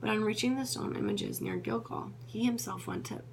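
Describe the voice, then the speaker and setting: Gravelly-voiced woman reading scripture. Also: mid-range voice, fairly quick. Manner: stumbles over names and corrects herself. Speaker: Bible reader. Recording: noisy with a steady hum.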